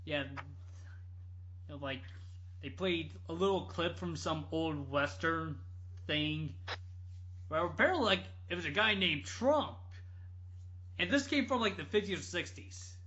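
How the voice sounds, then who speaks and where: Bright, high-voiced man telling a rambling story. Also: expressive. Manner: halting, rambling. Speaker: podcast guest storyteller. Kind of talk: casual podcast storytelling. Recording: dull lo-fi audio.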